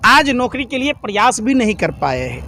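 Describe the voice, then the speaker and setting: A man's voice: very high-pitched, big pitch swings, moderate pace, pushed and bright. A man speaking in a heated political argument, combative and indignant, with emphatic repetition and rhetorical questions.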